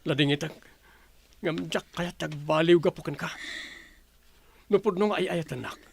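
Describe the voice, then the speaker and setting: Bitter young Filipino man, gravelly, curt, defensive, radio drama role. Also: on the high side, normal speed. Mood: defiant, bitter. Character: defiant, bitter young man hooked on gambling. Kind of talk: Philippine radio drama acting.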